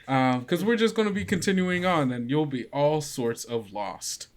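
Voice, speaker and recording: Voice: medium pitch, lively intonation, moderate pace. A game master hosting a tabletop podcast, chatting casually with an informal, joking way of talking.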